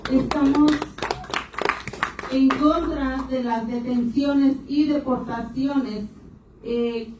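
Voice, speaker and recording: A woman speaking at a panel event, talking in short bursts with hesitations and pausing for her interpreter. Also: high voice, fairly even intonation. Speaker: panel speaker for an immigrant rights group. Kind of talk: public talk in Spanish, paused for interpretation. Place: university hall event. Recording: echoey hall, distant mic, faint noise.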